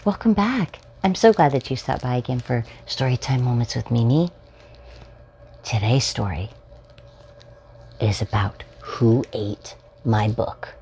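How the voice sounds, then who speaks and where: A woman in her forties reading a children's picture book aloud as a storytime host, in simple, deliberately spaced phrases for preschoolers. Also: rumbling low, lively intonation, dark tone. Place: small furnished room at home, indoors. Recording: home recording with faint background noise.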